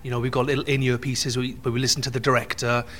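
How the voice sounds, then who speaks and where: A man's voice: mid-range voice, little pitch movement, racing, a bit bright. Jokey Welsh man singer, mid voice. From Wales. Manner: jokey, self-deprecating. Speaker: singer and presenter. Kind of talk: casual interview chat. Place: backstage room.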